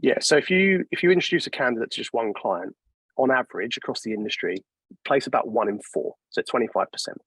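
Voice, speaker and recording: A man presents a webinar in an informal, explanatory way. His mid-pitched voice is a little husky, and it is recorded dry with very little room sound. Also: expressive, quick pace, a bit bright, no echo, poor audio. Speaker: software company presenter.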